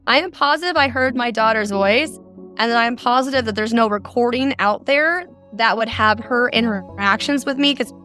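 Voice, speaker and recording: A woman's voice: fairly high pitch, normal speed, bright, forceful tone, soft background music. A middle-aged woman telling her own story as an interview guest. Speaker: interview guest, mother recounting a scam. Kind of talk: recounting a personal experience in an interview.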